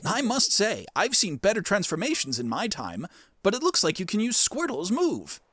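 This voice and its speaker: A man's voice: sits high, huge pitch swings, normal speed, a bit bright, slight background noise, exaggerated voice. A man voicing an elderly, scholarly plant-creature professor in a game, curious and kindly, with a quaint, theatrical, musing way of talking. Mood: surprised, urging. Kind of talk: cartoon game character dub. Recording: music behind the voice.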